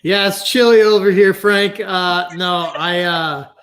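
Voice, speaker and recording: A man co-hosting a football podcast, joking deadpan while getting over an illness. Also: high voice, unhurried, smooth. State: recovering from illness. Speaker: podcast co-host. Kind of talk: casual podcast chat.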